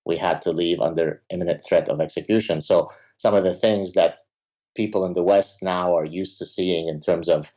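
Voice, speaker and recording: A man from Lebanon, a native Arabic speaker, talking in a conversational interview, often pausing on fillers, then stressing points with emphasis. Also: low-pitched, steady tone, darkish tone. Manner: hesitant with many fillers, emphatic. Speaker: podcast guest, professor. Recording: dull lo-fi sound.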